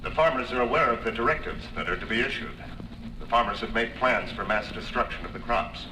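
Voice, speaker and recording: An actor playing an earnest, forceful farm movement politician in a 1950s radio drama, declaiming deliberately. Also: male voice, medium pitch, background noise, natural voice. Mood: grave. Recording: echoey, distant old 1950s radio recording.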